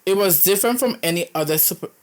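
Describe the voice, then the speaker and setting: Young woman, deep low voice, reads horror posts aloud, dramatic asides, trips over typos. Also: fast. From Caribbean. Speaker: YouTuber reading horror stories. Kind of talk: reading aloud with casual commentary.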